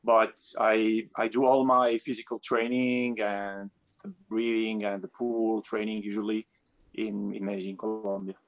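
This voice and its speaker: A man from Tunisia answering interview questions conversationally as a guest, in halting, thoughtful phrases over an online call. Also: lowish voice, little pitch movement, dull audio. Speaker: guest, professional free diver.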